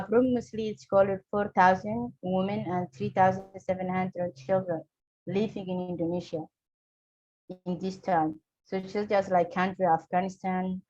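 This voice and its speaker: A woman from Somalia giving an earnest talk in a webinar, speaking halting with pauses, over a video call. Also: fairly low pitch, a little mellow, muffled recording. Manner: earnest, halting non-native English with pauses. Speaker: webinar speaker, refugee community leader.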